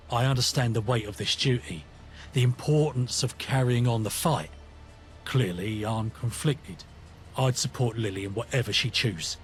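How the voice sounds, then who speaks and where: An actor playing a conflicted, earnest British rebel in a video game, talking in a matey, reflective, colloquial way. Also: male voice, mid-range voice, fairly bright tone, close to an everyday voice. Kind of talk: scripted video game companion dialogue.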